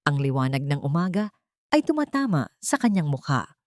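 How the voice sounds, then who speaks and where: A woman with a Filipino accent narrating a dramatic audiobook with expressive storytelling. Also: deep voice, normal speed, no room sound, studio quality.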